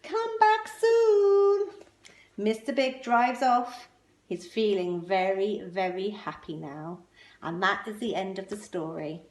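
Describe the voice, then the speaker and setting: A woman reads a children's storybook aloud at a slow pace, in a roomy, echoing space. Her delivery is expressive and emphatic, with pointed pauses between phrases. Her pitch sits in the middle range for a woman. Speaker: storyteller reading a picture book aloud. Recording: roomy, echoing indoor sound.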